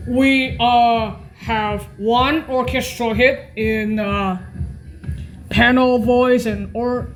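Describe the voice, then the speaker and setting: A woman demonstrating keyboard sound presets in an informal tutorial, speaking haltingly, listing items and often correcting herself. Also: on the high side, steady tone, faint music behind, low-quality recording.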